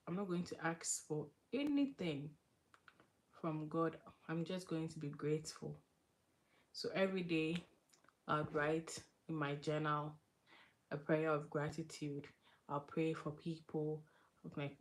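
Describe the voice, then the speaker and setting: A woman hosts a casual devotional livestream in a voice a little lower than most women's. She speaks in an earnest, conversational way, moving between personal testimony and reading aloud. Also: a little mellow. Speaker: livestream host.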